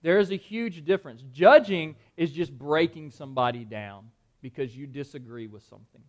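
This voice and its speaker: A man preaches in a high, light voice. He keeps it conversational, firing questions at his listeners and doubling back on words as he goes. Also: normal speed. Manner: conversational, quizzes the audience, repeats words. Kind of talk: interactive Bible-study sermon. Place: dim room with a live audience.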